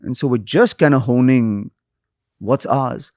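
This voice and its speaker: A man from India in his forties, a comedian chatting as a guest in an interview, lively, jumping higher when he quotes people. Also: unhurried, dry recording, clean studio sound. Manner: animated, pitch jumps up when quoting people. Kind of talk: casual interview chat.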